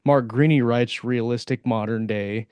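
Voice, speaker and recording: A man's voice: medium pitch, fairly even intonation, moderate pace, a little mellow, smooth, dry recording. Man interviewing, close dry sound. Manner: hesitant, meandering questions. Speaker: podcast host. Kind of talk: casual interview chat.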